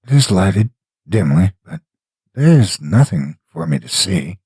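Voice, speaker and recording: Man voicing a halting, husky dead drifter. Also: low voice, very animated, a little mellow, no room sound, studio quality, exaggerated voice. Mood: gloomy, dazed. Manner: slow, halting, hollow. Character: dead destitute educated man speaking eerily from beyond. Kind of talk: horror story character voice.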